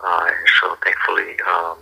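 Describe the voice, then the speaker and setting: A man answering questions on a phone call as a ministry staffer, explaining in a halting, casual way. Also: deep voice, monotone, vocal fry, sharp tone, breathy edge.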